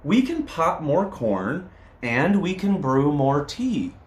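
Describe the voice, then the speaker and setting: A man voicing a small kind mouse from a storybook, soothing and squeaky. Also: sing-song, slow pace. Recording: roomy echo.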